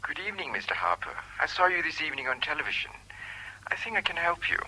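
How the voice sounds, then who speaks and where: Shady hushed caller, old radio play, super tinny sharp phone voice, slight hiss. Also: male voice, fairly high pitch, very animated, exaggerated voice. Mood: secretive, controlled. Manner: hushed, deliberate, secretive. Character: mysterious male informant on the telephone. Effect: telephone filter. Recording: thin telephone line with faint hiss.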